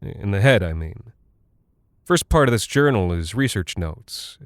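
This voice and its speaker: An actor voicing a preoccupied American aircrew buddy in an audio drama, blunt and colloquial, with a hesitant, muttering delivery. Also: male voice, lowish voice, lively intonation, unhurried, dry recording, studio quality. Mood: puzzled, absorbed. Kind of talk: quoted dialogue in audio drama.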